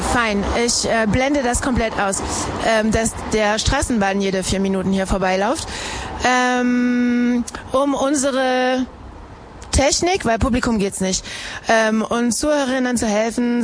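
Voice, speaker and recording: A woman's voice: a little high, a little hiss or hum. A woman hosting a live radio show outdoors, chatting and teasing her listeners with many fillers. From Harz, Germany. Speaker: radio host. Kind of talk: casual live radio chat. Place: outdoors at a live street broadcast.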